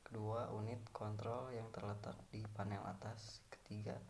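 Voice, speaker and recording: A man's voice: on the low side, little pitch movement, normal speed, creaky, a little mellow, slight background noise. A man reading a scripted technical presentation in a formal, item-by-item way. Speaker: student presenter.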